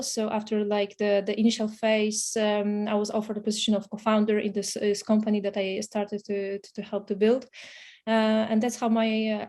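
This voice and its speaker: Even-toned Polish-accented woman. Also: mid-range voice. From Poland. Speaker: podcast guest, startup investor. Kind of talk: casual interview storytelling.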